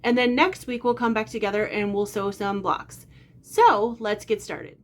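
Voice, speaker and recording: A woman's voice: mid-range voice, fairly even intonation, normal speed, music in the background. A woman in her forties with a slight cold hosts a casual sewing tutorial, explaining each step in a friendly, chatty way from her home sewing room. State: slight cold, occasional cough. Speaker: quilting channel host.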